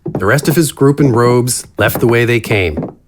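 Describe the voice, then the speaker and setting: A man's voice: mid-range voice, normal speed, noisy background. A man narrating a scripted fantasy story as its narrator.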